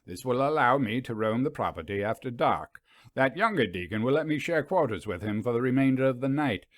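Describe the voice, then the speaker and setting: Storybook professor, acted by a male reader: self-assured man, brisk, directing others, dry close sound. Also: mid-range voice, lively intonation, no echo, lightly acted. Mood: triumphant. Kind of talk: audiobook character dialogue.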